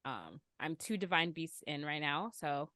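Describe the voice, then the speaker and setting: A woman's voice: low voice, animated, measured pace, bright, forceful tone, no room sound, studio quality. A woman chatting casually as a podcast co-host, with an informal, giggly manner. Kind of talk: casual chat.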